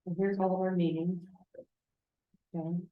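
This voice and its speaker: A woman's voice: on the low side, little pitch movement, normal speed, narrow, tinny audio. A woman in a committee meeting asking questions and checking details of a draft. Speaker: committee member. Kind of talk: casual committee discussion. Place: county meeting room. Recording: echoey meeting room.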